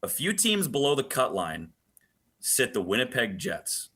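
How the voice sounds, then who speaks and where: A man's voice: mid-range voice, huge pitch swings, a little slow, bright, forceful tone, breathy edge. A man speaking as a play-by-play announcer giving detailed, emphatic analysis in a sports radio interview.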